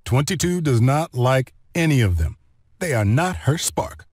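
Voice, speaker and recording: A man narrating a children's read-along story in an expressive, deliberate storytelling manner. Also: mid-range voice, no room sound, studio quality. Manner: expressive, deliberate storytelling delivery with clear phrasing.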